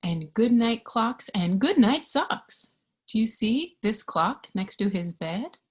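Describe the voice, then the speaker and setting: A woman reading a picture book to young children in a gentle, singsong way, sometimes hushed, asking the listener simple questions with childlike wonder. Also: on the high side, expressive, slow, slightly rough. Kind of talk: interactive children's read-aloud with questions to the listener.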